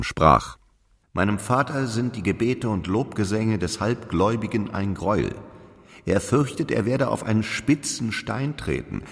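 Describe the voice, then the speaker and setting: An actor playing Paul the apostle, a stern zealot preaching in solemn, archaic radio drama language. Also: male voice, low-pitched, dry recording, studio quality, full character voice. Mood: quoting gravely. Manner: grave, preaching, declamatory.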